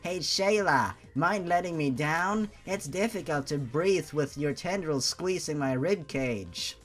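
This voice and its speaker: A man voicing a tender young man who comforts his girlfriend, speaking softly and slowly. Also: on the high side, faint music behind. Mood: gentle. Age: young adult. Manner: soft, slow and hushed.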